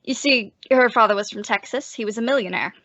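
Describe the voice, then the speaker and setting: A woman's voice: a little high, brisk, fairly bright tone, no echo. Woman as young American husband, earnest, lovelorn, folksy, radio comedy, dry close sound, slightly muffled. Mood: confiding. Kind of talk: 1940s radio comedy acting. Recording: dull, dry lo-fi recording.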